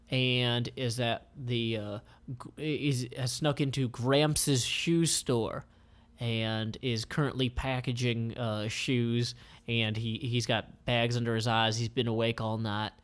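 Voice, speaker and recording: A man reading a comic aloud informally, describing panels in a halting, conversational way. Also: mid-range voice, fairly even intonation, clear, resonant tone.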